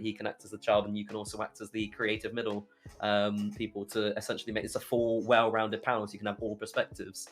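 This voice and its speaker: A man's voice: fairly low pitch, a bit bright. A young British man telling stories casually as a podcast guest, chatty and rambling as he goes. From United Kingdom. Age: young adult. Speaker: podcast guest, YouTuber and streamer.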